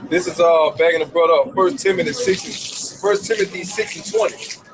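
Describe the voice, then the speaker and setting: A man reading scripture aloud in an earnest, deliberate way. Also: high-pitched, steady tone, music in the background, poor audio.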